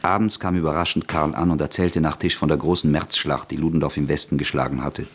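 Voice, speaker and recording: A man's voice: very deep voice, steady tone, fast. A man reading scripted literary narration for a radio play.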